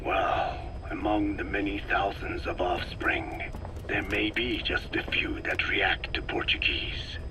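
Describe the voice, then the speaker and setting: A man playing a calm, methodical scientist in a video game, explaining in a measured, formal, whispery voice. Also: deep voice. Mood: clinical, measured. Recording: noisy cassette tape with radio-like hum.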